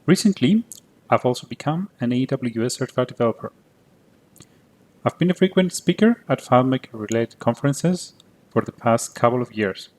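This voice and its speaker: Greek Cypriot man, 30s, tech presenter; clear, methodical delivery at a middle pitch. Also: lively intonation, moderate pace. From Cyprus. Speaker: conference presenter. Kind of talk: prepared conference talk.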